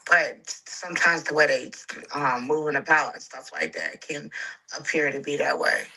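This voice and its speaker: A panelist in a casual talk-show chat, hesitating and filling pauses often. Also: medium pitch, vocal fry, pushed and bright, rough recording. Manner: hesitant, lots of fillers.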